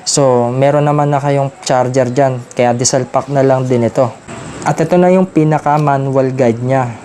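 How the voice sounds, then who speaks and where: A man's voice: medium pitch, steady tone, normal speed, warm tone, slight background noise. A man with a Filipino accent giving a casual, explanatory product review, addressing viewers informally as a vlogger. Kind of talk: casual unboxing and review talk.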